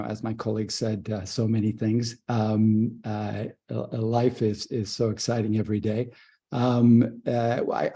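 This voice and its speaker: A man born in Zambia introducing himself on a video call, halting often with fillers and restarts. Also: mid-range voice, mellow, husky. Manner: hesitant, many fillers and restarts. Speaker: fintech leader and investor.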